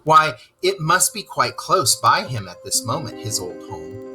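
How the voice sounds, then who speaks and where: A storyteller reading aloud to children in expressive narration, with explanatory asides. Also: medium pitch, a little slow, music in the background.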